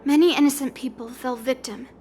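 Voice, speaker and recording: A woman's voice: high voice, fairly even intonation, brisk, warm tone, soft background music, lightly acted. A woman voicing a proud, blunt, self-assured young girl fighter in a video game. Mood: resolute, serious.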